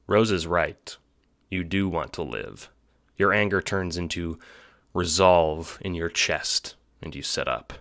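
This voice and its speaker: A man narrates an audio drama from a script in the second person. His voice is deep yet bright and forceful. The recording is very dry and close. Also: slow pace, vocal fry, no echo.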